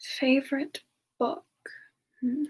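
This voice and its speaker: Slow, high-voiced gentle demon woman a woman acts out. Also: little pitch movement, poor audio. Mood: thoughtful. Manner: soft-spoken, hesitant, often chuckling. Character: gentle, romantic female demon reborn from a past life. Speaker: streamer answering viewer questions in character. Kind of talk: semi-ASMR roleplay Q&A.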